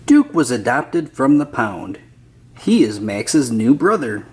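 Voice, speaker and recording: A man's voice: medium pitch, sing-song, slow pace, darkish tone, slight background noise. A man reading a children's picture book aloud as a scripted narrator.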